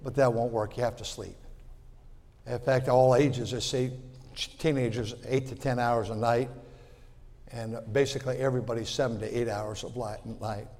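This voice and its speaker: A man in his sixties preaching a sermon in a folksy way, repeating words and swinging from loud to quiet. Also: medium pitch, steady tone, normal speed, big-room sound. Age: 60s. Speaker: pastor.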